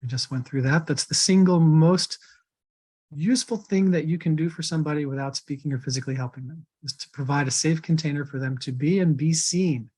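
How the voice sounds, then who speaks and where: A man with a slightly higher voice leads a workshop over a video call. He speaks in a calm, reassuring, instructive way. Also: fairly quick, husky. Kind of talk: online workshop teaching.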